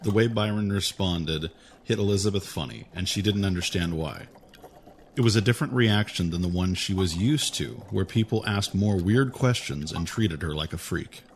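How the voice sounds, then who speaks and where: A man narrating a fantasy audio drama with a steady, even storytelling manner. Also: low-pitched, moderate pace, slight background noise.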